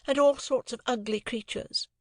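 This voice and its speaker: A proud, aloof young woman who answers with cool, lofty detachment, her voice pitched well up. A man reads her part in an audiobook. The recording is dry and close. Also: steady tone, moderate pace, no room sound.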